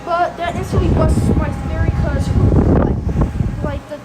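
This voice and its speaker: A woman's voice: extremely high pitch, steady tone, moderate pace, over loud music, poor audio. A woman giving a casual, rambling recap as host of a reaction video, in informal, slangy language.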